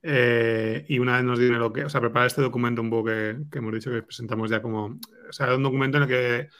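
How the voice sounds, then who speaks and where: A man's voice: mid-range voice, flat delivery, fast talker, a bit bright. A man with an accent from Spain giving casual, conversational answers as an interviewed scriptwriter, often checking for agreement. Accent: Spanish from Spain. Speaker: scriptwriter guest being interviewed.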